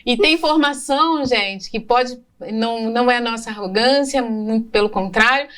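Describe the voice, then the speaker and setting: A woman hosting a health podcast, speaking slowly and reflectively, restarting her sentences. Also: fairly high pitch, fairly bright tone, recorded from a distance. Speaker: podcast host; health professional. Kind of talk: podcast interview.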